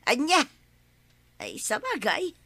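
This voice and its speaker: A woman's voice: sits high, huge pitch swings, slow, creaky, pushed and bright, no room sound, exaggerated voice. A woman with an Ilocano accent playing an obliging but grumbling older village neighbour in a comedy drama. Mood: grumbling, chatty.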